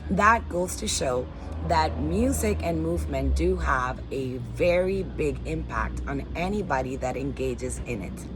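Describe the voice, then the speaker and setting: Dominican-born woman in her 40s, low voice, heartfelt, phone video outside w faint hum behind. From Dominican Republic. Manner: earnest, upbeat and heartfelt. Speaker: teaching artist. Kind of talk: personal statement to camera. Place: backyard under a canopy, outdoors.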